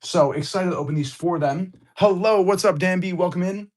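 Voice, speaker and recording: A man hosting a live card-opening stream, excitable and hyped, greeting viewers, his voice a little off as he recovers from illness. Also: high-pitched, normal speed.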